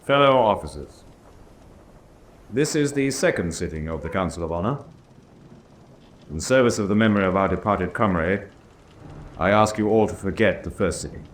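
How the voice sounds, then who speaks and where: An actor playing a grave senior German naval officer held prisoner, speaking in formal, measured announcements in a solemn radio drama style. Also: male voice, low-pitched, expressive. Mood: solemn, firm. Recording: noisy drama track with ambience.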